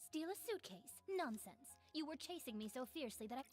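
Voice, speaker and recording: A woman's voice: high voice, big pitch swings, brisk, faint music behind. An actress playing a defiant, evasive female bar owner in a video game, with a haughty, curt delivery. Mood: indignant.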